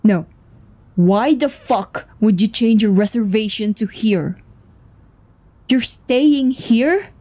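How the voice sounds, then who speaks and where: A woman's voice: fairly high pitch, lively intonation, slow pace, long pauses, warm tone. A woman voice actor playing a tense, foul-mouthed, commanding woman with a secret in a dramatic audio roleplay, urgent and swearing. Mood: panicked, angry. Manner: swearing, urgent, breathy sighs and stammers. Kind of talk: dramatic ASMR roleplay acting.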